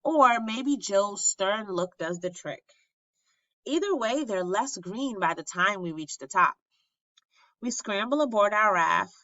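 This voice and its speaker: A woman reads a children's story in a bright, forceful voice. She takes her time, teaching as she goes with animated expression. The recording is a little dull and lacks crispness. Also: mid-range voice, takes it slowly. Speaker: teacher reading a picture book aloud. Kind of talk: expressive children's read-aloud. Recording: roomy, slightly muffled sound.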